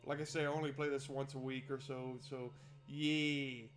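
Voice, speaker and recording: A man's voice: mid-range voice, level tone, moderate pace, faint music behind. A man hosting a visual novel playthrough, reading narration aloud and adding casual, wry asides. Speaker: gaming video host.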